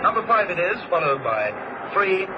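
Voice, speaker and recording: A man's voice: high-pitched, big pitch swings, moderate pace. A man acting a clipped, declamatory greyhound track announcer in a vintage radio drama. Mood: urgent, excited. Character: brisk male greyhound race track announcer. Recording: muffled vintage radio, heavy noise.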